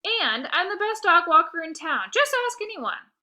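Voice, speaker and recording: A woman voicing an eager, scheming kid in a read-aloud storybook, exclaiming and pushing a sales pitch. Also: very animated, moderate pace, bright, forceful tone, full character voice. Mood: salesy, insistent. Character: eager, scheming kid hosting a dog party.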